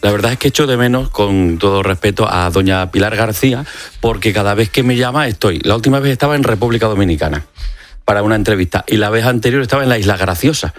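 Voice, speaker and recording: A man's voice: on the low side, fast talker. A man from Extremadura with an accent from Spain, a doctor explaining things conversationally and courteously as an interview guest. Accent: Spanish from Spain. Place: radio studio.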